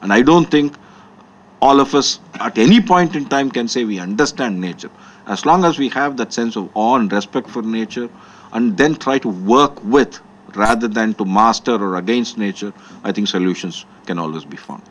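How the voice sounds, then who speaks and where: A man's voice: lowish voice, animated, normal speed, rough recording. A man speaking as a panelist, wrapping up his remarks in a reflective, earnest way. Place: conference hall.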